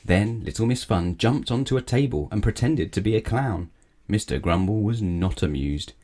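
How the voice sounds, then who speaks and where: A man's voice: on the low side, lively intonation, moderate pace, mellow. A man reading a children's story aloud as a storyteller.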